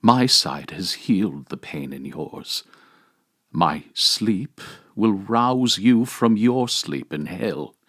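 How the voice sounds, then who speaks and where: A man's voice: lowish voice, lively intonation, slow pace, fairly bright tone, full character voice. A man voicing a majestic, commanding yet tender Christ among the dead in a solemn, declamatory dramatic reading of a homily. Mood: grave, tender.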